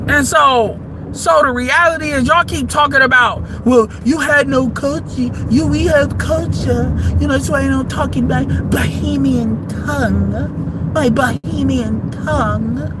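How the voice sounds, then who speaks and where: A man, delivering a heated, profane monologue that rises into shouts. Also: very high-pitched, a little slow, background noise. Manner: heated, combative, swearing freely, rising to shouts. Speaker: livestream commentator.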